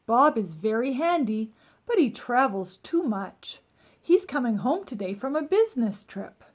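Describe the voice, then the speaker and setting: A woman's voice: a little high, very animated, measured pace. A woman reading the part of a friendly, chatty expectant mother in a children's storybook.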